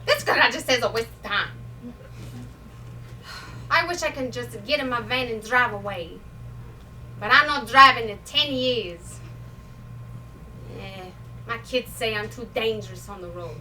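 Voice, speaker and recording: Woman playing a high-voiced old Italian grandmother. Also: pushed and bright. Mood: grumbling, wistful. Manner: blunt, halting, broken English with small exclamations. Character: feisty elderly Italian immigrant grandmother, stubborn survivor. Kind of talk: live comedic stage monologue. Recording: distant mic, noisy live room.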